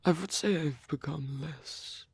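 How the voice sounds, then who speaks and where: A man voicing a lonely old male vampire in an ASMR roleplay, gentle, rambling and bookish, with a soft, breathy, creaky voice and a halting, musing delivery. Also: a little high, no echo, exaggerated voice. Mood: flirtatious, musing. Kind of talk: ASMR roleplay audio addressed to the listener.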